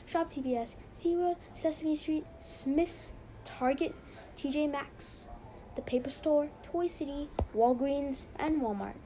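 A woman's voice: high voice, mellow, slight background noise, poor audio. A young woman hosting a casual podcast, chatty and rambling with lots of "so yeah". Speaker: podcast host.

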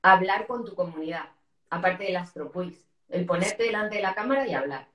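A woman's voice: lowish voice, expressive, normal speed, highs cut off. A woman from hosting a casual live interview, talking in an informal, explanatory way. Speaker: host of a live interview show. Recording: echoey room sound.

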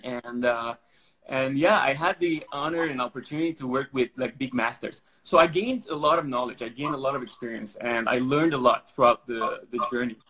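A man's voice: mid-range voice, brisk, fairly bright tone, low-quality recording. Rambling Syrian-raised man. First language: Armenian. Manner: rambling, many fillers and restarts. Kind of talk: casual interview answers.

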